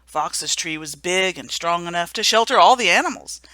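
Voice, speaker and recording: A woman reads a children's picture book aloud for a memorial service, unhurried and gentle. Her voice is low but bright, rising and falling expressively, dropping to a hush in the tender moments. The sound is close and dry. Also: animated, no room sound. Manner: gentle, hushed at sad moments. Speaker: story reader. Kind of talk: storybook reading at a memorial service.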